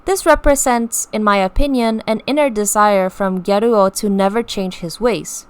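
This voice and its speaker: Smooth-voiced woman explaining lyrics. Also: mid-range voice. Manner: measured, patient explaining. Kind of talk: scripted explanatory voice-over.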